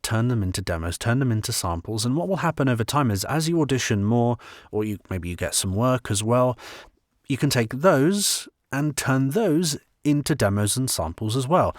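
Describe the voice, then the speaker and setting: A man's voice: fairly low pitch, dry recording, studio quality. A man giving informal instructional advice as a voice-over artist, explaining step by step with emphasis and repeated phrases.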